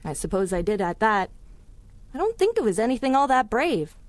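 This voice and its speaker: A spirited young city gal from a 1930s radio serial. Her voice sits fairly high and swings freely up and down, slangy and stubborn, recorded close and dry. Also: sing-song, a little fast, no echo. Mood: curious, impressed. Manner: spirited, slangy old-time talk. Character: plucky, warm-hearted young city woman, stubborn and curious. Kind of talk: 1930s pulp radio drama acting.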